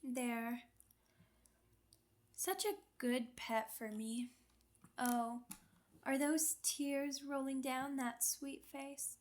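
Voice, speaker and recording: A woman performs a sadistic female vampire in an ASMR roleplay, her voice fairly high and very unhurried. She is seductive and commanding, speaking with teasing menace and condescending tenderness, as if to a possession. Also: fairly even intonation. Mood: mock-tender, possessive. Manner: slow, deliberate, condescending pet names, teasing menace. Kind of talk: ASMR roleplay, intimate scripted monologue.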